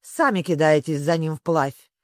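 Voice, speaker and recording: A storyteller voicing a bossy griffin, matter-of-fact and quick to butt in loudly. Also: mid-range voice, no echo, studio quality, close to an everyday voice. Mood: shouting. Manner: matter-of-fact, interrupting, shouting. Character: brisk, bossy, boisterous griffin.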